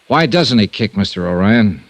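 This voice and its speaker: Lively, dry-miked hard-boiled radio investigator. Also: male voice, fairly low pitch, normal speed, dry recording. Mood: probing, dry. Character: hard-boiled, sharp male insurance investigator. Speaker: star of a radio detective series. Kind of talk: 1950s radio drama acting and narration.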